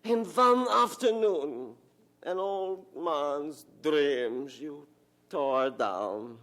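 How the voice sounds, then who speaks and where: Stern old German father, creaky, slow. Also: a little high, lively intonation, a bit bright, full character voice. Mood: wounded, self-pitying. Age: older. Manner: emphatic, guilt-tripping, inverted foreign-sounding phrasing. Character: stern, self-pitying old German father, stubborn and grasping. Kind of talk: radio drama acting.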